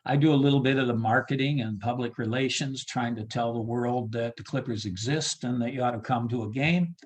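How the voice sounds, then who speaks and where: Halting male announcer in casual chat. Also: medium pitch, slightly rough. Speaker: baseball PA announcer being interviewed.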